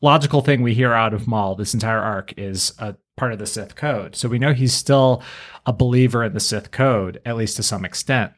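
A man's voice: mid-range voice, normal speed. Male podcast host in analytical discussion.

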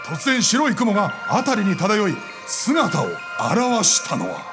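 A man playing an eager, pushy department boss and narrator in a live radio drama under loud music. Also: sits high, huge pitch swings, slow pace, exaggerated voice. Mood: theatrical.

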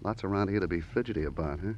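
An actor playing a nosy, fidgety, talkative witness in an old radio crime drama, flippant and rambling. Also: male voice, deep voice, racing, a little mellow, dull audio. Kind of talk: 1940s-50s radio drama acting.

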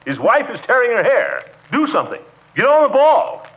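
An actor playing a pompous, excitable middle-aged small-town commissioner with an American accent in a 1940s radio comedy. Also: male voice, very high voice, normal speed. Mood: indignant. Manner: pompous, excitable, self-important. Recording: muffled old radio recording.

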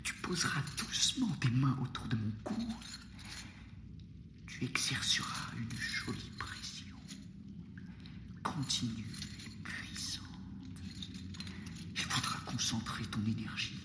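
An actor playing a taunting, death-obsessed prisoner of war in a Roman dungeon, insidious and insistent, in an audio drama. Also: male voice, mid-range voice, roomy sound. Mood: hushed, menacing. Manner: insidious, insistent, halting with repeated phrases. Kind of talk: audio fiction acting.

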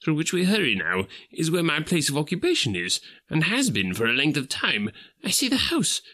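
A man voicing an old miserly gentleman who pleads in a high, strained voice. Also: wildly swooping pitch, moderate pace, heavily acted. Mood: anxious. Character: old miserly man, terrified then overjoyed.

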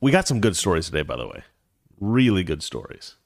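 A man's voice: sits low, wildly swooping pitch, brisk, creaky, no echo, clean studio sound. A man hosting a casual commentary show, rambling and opinionated with a joking edge. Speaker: show host.

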